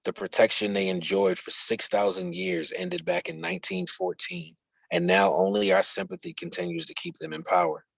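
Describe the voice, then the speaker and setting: A man reads instructional book dialogue aloud in a low, creaky voice. His tone is husky and rough, and the sound is dry and close with very little room in it. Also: very animated, moderate pace, a bit bright, no echo. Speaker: reader of a book chapter.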